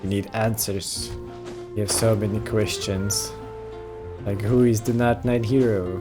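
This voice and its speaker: Gamer girl commentary, slow n real low voice, thinking out loud, game music in back. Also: fairly even intonation. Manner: musing to self, interjecting. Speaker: let's play streamer. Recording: game music playing behind.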